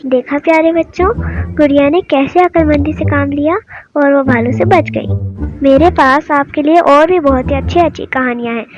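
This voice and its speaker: Woman, story host, scripted kids' narration, high-pitched, muffled lo-fi sound. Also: moderate pace. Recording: dull sound with music bed.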